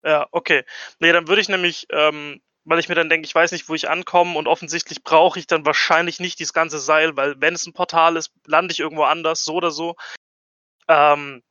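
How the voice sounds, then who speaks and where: Bright, higher-voiced man at a gaming table. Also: flat delivery, fairly quick, no echo, dull audio. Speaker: tabletop role-playing game player. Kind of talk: casual game table chat, thinking aloud.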